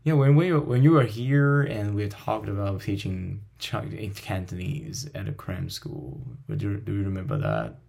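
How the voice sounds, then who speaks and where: A man from Taiwan hosts a relaxed chat, talking haltingly and often restarting or repeating his words. His voice sits fairly low and has a gravelly, fry-heavy texture. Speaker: host, language teacher. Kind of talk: casual conversation.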